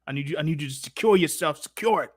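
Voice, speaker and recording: Higher-pitched American man, slangy streamer. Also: fast, no echo, clean studio sound. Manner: informal, slangy, profane, effusive. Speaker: live stream host. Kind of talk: casual live stream chat.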